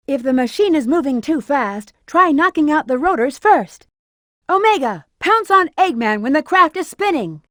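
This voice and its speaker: A woman's voice: high-pitched, dry recording, clean studio sound. A woman voicing a confident, sly female bat treasure hunter in a video game, with a sassy manner.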